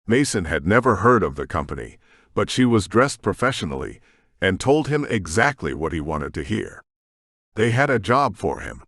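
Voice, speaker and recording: A man narrates scripted fiction in a fairly deep voice. His intonation moves widely as he tells the story, and the sound is dry, with no room around it. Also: dry recording. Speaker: audiobook narrator.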